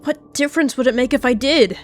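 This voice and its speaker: A woman's voice: high voice, a little fast, voice pushed up, close to an everyday voice. A woman voicing a secretive young she-cat who turns defensive and snappy, with faint music behind. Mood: snapping.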